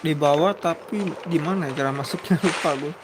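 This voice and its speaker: Fast-talking Indonesian male gamer. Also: on the high side. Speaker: gaming streamer. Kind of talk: casual let's-play commentary. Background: game music.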